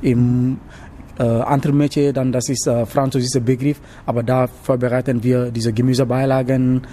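A young man from Senegal with a Senegalese accent, answering casually in halting non-native German in a noisy location interview. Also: mid-range voice, little pitch movement, mellow. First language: not German, learned it as an adult. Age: young adult. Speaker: interviewee, trainee cook.

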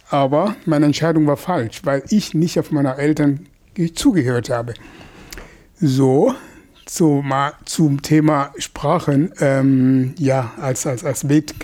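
Slow, hesitant man with a mellow voice. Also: fairly high pitch. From Mozambique. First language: a language of northern Mozambique. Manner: hesitant, many fillers and restarts. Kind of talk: casual commentary on an interview.

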